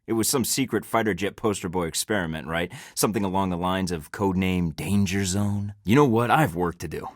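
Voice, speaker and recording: A man's voice: low-pitched, brisk, hardly any pauses, no room sound, natural voice. An actor with an American accent playing a sarcastic young male spy agent in a video game, trading dry, wisecracking quips. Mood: wry.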